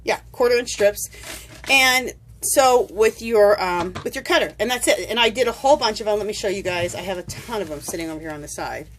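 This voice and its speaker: A woman's voice: mid-range voice, brisk. A woman chatting her way through a craft tutorial, rambling and doubling back as she works. She has a cold and sniffles now and then. Her voice sits mid-range, and a faint hiss of room noise hangs behind it. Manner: chatty, rambling, self-correcting. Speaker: crafting vlogger. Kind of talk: casual tutorial chat. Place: home craft table, indoors.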